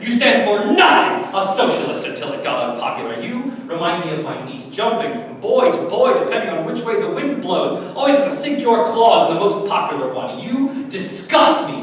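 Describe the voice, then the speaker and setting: A man acts a brutal German sergeant with a high voice that swings widely in pitch. He sneers and goads like a stage villain, heard from afar in an echoing hall. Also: fairly bright tone. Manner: mocking, goading. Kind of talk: staged play reading. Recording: very echoey hall, distant mic, faint noise.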